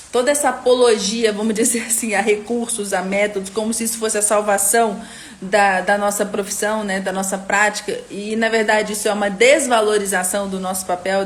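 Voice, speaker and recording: A woman in her young adult years, originally from Rio de Janeiro, with a faded Carioca lilt. She teaches a live online class at a middle pitch, laying out ideas step by step and often asking her listeners short checking questions. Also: steady tone, moderate pace. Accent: Carioca Brazilian Portuguese, softened. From Rio de Janeiro, Brazil. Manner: explanatory, frequent tag questions. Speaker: educator and course host.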